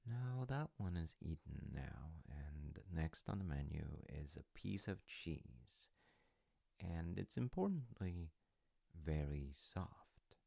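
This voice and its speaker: A man playing a gentle, wryly amused dad who tells his kid a folktale in an unhurried, musing, conversational way for an audio roleplay. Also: extremely low pitch, expressive, vocal fry, slightly rough, no echo, clean studio sound, heavily acted. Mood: gently amused. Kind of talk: audio roleplay, rambling bedtime-style storytelling.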